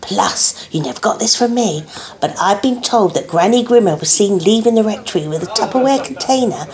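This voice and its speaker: Woman playing a creaky-voiced English village gossip. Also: mid-range voice, animated, a little fast, a little mellow, close to an everyday voice. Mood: gossipy, indignant. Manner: conspiratorial, emphatic. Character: conspiratorial, know-all village gossip, female. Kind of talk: comic radio drama acting.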